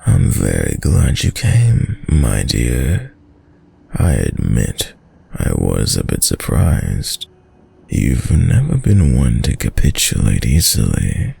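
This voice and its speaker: A man playing a suave, predatory, dominant vampire lord in an intimate audio roleplay, with a very deep, gravelly voice and a courtly, seductive, menacing manner that lingers over words. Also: huge pitch swings, slow pace, warm tone, full character voice. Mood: smug, silky.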